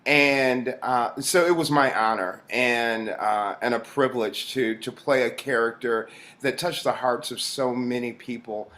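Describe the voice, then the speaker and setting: A man with a mid-pitched voice talks at a slow pace in an interview. He is reflective and halting, pausing often as he thinks through what he says. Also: a bit bright. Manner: halting, thoughtful, with frequent pauses. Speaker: actor being interviewed.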